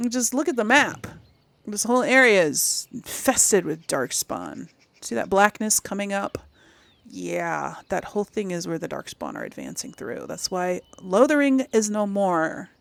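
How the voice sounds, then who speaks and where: A woman's voice: mid-range voice, gravelly. A woman giving casual game playthrough commentary, thinking aloud and muttering to herself as she goes. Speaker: gaming channel host.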